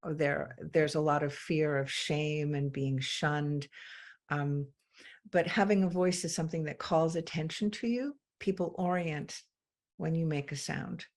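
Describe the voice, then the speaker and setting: A woman from England, a guest in a conversation, speaking reflectively with frequent pauses and ums. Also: deep voice, a little mellow. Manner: slow, thoughtful, with many pauses and ums. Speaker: interview guest.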